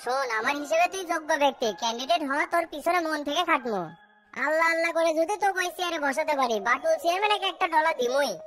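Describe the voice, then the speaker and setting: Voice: high-pitched, quick pace, a bit bright, faint music behind. A performer voicing scheming, boastful small-town political men in a cartoon comedy, blustering colloquially.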